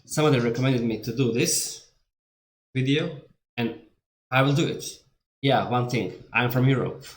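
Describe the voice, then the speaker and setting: A man's voice: medium pitch, normal speed. A man from Montenegro with a Montenegrin accent and Serbian as his native language, giving casual reaction commentary in a rambling, candid way. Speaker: reaction video host. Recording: echoey room.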